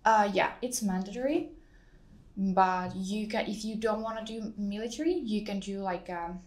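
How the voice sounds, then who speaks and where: A woman's voice: medium pitch, moderate pace, a little mellow. A young woman with a Finnish accent, a guest in a studio interview, talking casually with lots of fillers. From Finland. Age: young adult. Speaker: guest, exchange student. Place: studio, indoors. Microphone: studio mic on boom arm.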